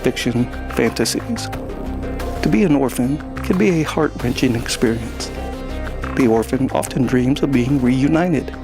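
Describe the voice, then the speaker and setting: A man hosting and narrating a science fiction story in a theatrical, deliberate storyteller's manner. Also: medium pitch, over music. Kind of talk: scripted dramatic narration.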